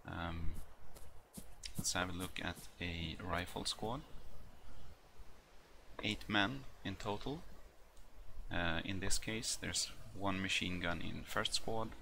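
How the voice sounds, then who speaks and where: A man's voice: sits low, fairly even intonation, gravelly, pushed and bright. A man, not an English speaker, gives a hesitant walkthrough with many ums and uhs. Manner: hesitant, halting delivery full of ums. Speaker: hobbyist video maker. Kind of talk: casual walkthrough with many fillers. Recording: noisy home recording with a stutter.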